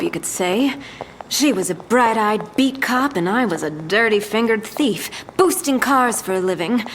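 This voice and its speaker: A woman's voice: a little high, slight background noise. A woman playing a smug, theatrical crew leader of thieves in an audio drama, teasing and self-assured. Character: suave, playful female master thief, crew leader.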